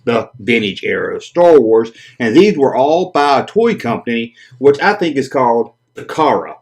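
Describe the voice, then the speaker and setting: A man hosting a collector video, talking casually and joking about odd vintage toys; his first language is Japanese. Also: mid-range voice. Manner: animated, joking, chatty. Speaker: toy collector channel host.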